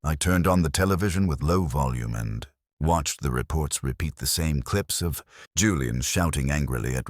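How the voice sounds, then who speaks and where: A man reading a scripted dramatic first-person story as narrator, in a measured, solemn manner. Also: extremely low pitch, dry recording, clean studio sound.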